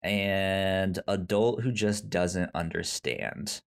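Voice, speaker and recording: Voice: deep voice, slow pace, vocal fry. A podcast co-host chatting casually about film, often stammering and repeating syllables and words. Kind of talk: casual film review chat.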